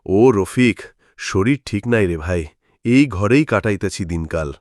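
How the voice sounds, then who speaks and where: A man's voice: fairly low pitch, animated, a little slow, darkish tone, dry recording, studio quality. A man playing a friendly, wistful village fisherman in a cartoon, with a rural Bangladeshi Bengali accent. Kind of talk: cartoon fairytale voice acting.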